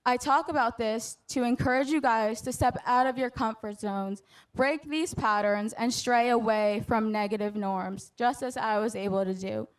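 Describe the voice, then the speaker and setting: Young female student speaker, graduation address, earnest and measured, higher voice, clean smooth tone. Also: low-quality recording. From United States. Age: young adult. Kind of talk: prepared graduation speech.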